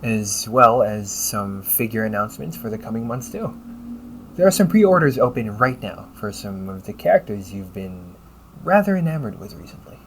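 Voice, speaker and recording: A man voicing an upbeat, teasing AI companion in an audio roleplay, polite and fussily helpful, with a gently nagging manner. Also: lowish voice, big pitch swings, moderate pace, a little hiss or hum. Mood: helpful, chatty. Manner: polite, chirpy, gently nagging, butler-like phrasing. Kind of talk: scripted audio roleplay addressed to the listener.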